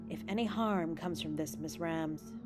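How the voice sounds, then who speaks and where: Casting: cool aristocratic lady, female actor, slightly low register, stern restraint, fantasy audio play, prominent music. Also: slow. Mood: guarded, warning. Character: cool, guarded noble lady giving warnings. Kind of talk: audio drama acting. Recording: clear music bed under voice.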